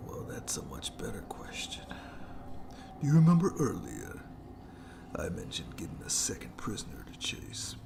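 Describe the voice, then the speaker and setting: Slow, gravelly whispering monster. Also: medium pitch, heavily acted. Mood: gloating, sly. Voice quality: breathy, heavily creaky whispery voice. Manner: slow, theatrical, mockingly polite menace. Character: gloating sadistic monster jailer lurking under the bed. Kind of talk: scripted horror ASMR roleplay.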